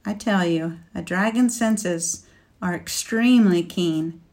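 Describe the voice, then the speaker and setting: A woman voicing a bitter, fierce young warrior maiden in an audiobook, reading her lines with passion that climbs toward shouting. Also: fairly low pitch, slow pace. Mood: insistent. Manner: passionate, rising to near shouting.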